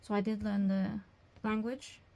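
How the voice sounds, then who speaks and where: Young adult woman, mellow soft-edged tone, mid pitch, measured explanations, interview answers. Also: flat delivery, vocal fry. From England. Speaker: job candidate, language teacher.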